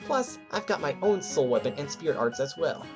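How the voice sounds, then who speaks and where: A woman's voice: low-pitched, sing-song, a little fast, music in the background. A woman playing an eager, scrappy spirit who is ready for a fight, in an amateur audio drama. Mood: eager, bold.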